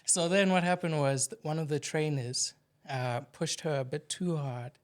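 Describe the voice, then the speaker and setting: A man's voice: on the high side, normal speed. A man from Durban with a South African accent, a podcast guest candidly telling his story with some jokes.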